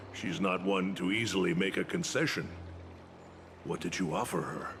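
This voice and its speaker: A man's voice: low-pitched, a little slow, vocal fry, background noise. An actor playing a proud, impassioned warrior subject of a king in a video game, speaking with formal, emotional intensity. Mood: subdued, questioning. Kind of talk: dramatic video game voice acting. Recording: loud music under the voice.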